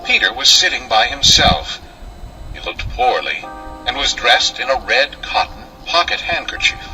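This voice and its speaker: Animated male storyteller over music. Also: medium pitch, measured pace, distant mic, rough recording. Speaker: storyteller, narrator. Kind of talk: expressive children's storybook narration.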